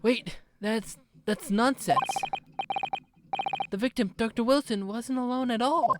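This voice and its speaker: A woman voicing an earnest young male defense lawyer in a game, speaking in an urgent, pleading way over loud game music. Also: on the high side, unhurried, very noisy, voice pushed up, natural voice. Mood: insistent.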